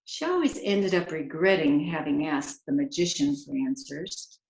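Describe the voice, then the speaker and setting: A woman's voice: sits low, normal speed, vocal fry, darkish tone. Echoey woman reading a storybook aloud. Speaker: children's author reading aloud. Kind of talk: expressive picture-book read-aloud.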